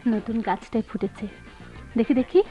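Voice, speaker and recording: An actress playing a scolding yet affectionate young wife in a radio drama, with a chiding, coy, expressive delivery. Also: female voice, on the high side, normal speed, faint music behind, close to an everyday voice. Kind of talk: Bengali radio drama acting. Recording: muffled old radio drama recording.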